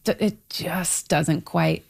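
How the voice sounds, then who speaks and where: A woman's voice: lowish voice, takes it slowly, vocal fry, slightly rough. A woman talking casually about books in a reflective, candid and emphatic way. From Toronto, Canada. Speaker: book club member on a discussion panel. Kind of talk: casual book discussion.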